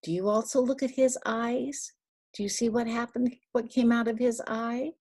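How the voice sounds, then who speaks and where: An older woman reads a picture book aloud to toddlers, speaking simply with questions and little instructions, in a breathy, husky voice. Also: a little high, steady tone, moderate pace, warm tone. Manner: simple, instructive, asking the child questions and giving prompts. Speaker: storyteller for young children.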